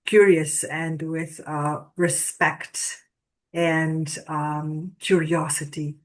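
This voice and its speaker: A woman with a Dutch accent, an end-of-life family coach, giving calm advice over a video call in a slow, hesitant, soft-spoken way. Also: sits low.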